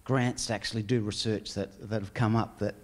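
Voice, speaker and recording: A man gives an explanation during a stage panel. His voice sits a little low. He proceeds haltingly, searching for words. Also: fairly even intonation. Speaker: panel member. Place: large auditorium stage.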